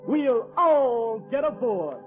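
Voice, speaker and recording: Earnest American everyman, high-pitched, folksy dialect, rhythmic theatrical recitation, old-time radio drama, dull recording over music. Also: very animated, slow pace, heavily acted. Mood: rising jubilant hope.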